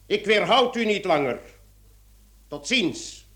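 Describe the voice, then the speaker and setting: A man's voice: sits high, very animated, slow, a bit bright, exaggerated voice. A man acting an indignant, blustering police commissioner in a Dutch-language radio play, with a theatrical, exclamatory, grumbling delivery. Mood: stern, interrogating.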